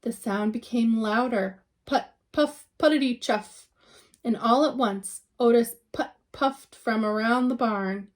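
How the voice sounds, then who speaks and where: A woman reading a children's picture book aloud in an expressive storytelling style, with dramatic emphasis and drawn-out words. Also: on the high side.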